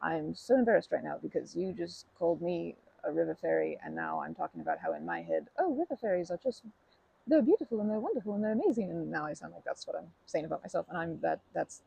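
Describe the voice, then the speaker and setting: A woman playing a timid, kind young woman in an ASMR roleplay, stammering and rambling self-consciously in a soft, breathy voice. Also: on the low side, big pitch swings, quick pace, heavily acted, rough recording. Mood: embarrassed, apologetic. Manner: stammering, self-deprecating, rambling with nervous giggles. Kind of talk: ASMR comfort roleplay, conversational acting.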